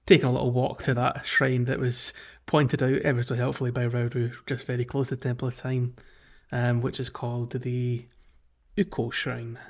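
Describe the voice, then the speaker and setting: A man's voice: medium pitch, monotone, normal speed, highs cut off. A man co-hosting a casual gaming podcast, often hesitating and rephrasing himself as he talks. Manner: hesitant, self-correcting phrasing. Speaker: podcast co-host.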